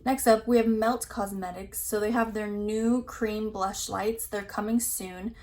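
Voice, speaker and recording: A woman's voice: mid-range voice, steady tone, unhurried, a little mellow. Chatty young woman, slightly scratchy voice. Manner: rambling, full of "like", reads product blurbs aloud. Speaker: beauty vlogger. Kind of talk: casual chat reviewing new makeup releases.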